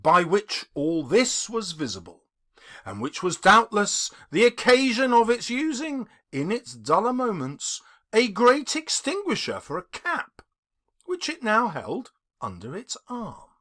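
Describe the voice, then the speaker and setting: A theatrical man reads a literary story aloud with heavy emphasis. His pitch swings widely, his pace is unhurried, and his tone is bright and forceful. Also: wildly swooping pitch. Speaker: audiobook reader, teacher. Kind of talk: dramatic literary narration.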